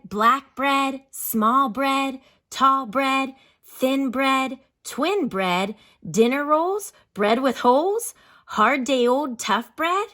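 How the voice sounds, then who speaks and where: A woman's voice: on the high side, a bit bright. A woman teacher reads a children's book aloud expressively, stopping to explain words and pose questions in an instructive way. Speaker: elementary school teacher reading a picture book aloud.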